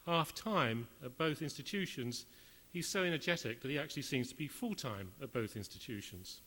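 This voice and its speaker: Male host, mid pitch, measured formal intro, echoing hall. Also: fairly bright tone. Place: lecture hall. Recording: reverberant hall.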